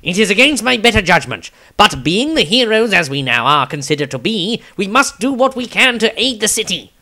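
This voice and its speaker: Man acting a small halfling hero, raised pitch, loud, formal, declamatory, storybook style. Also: sing-song, normal speed, exaggerated voice. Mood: reluctant resolve. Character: noble-minded halfling hero, dutiful and formal.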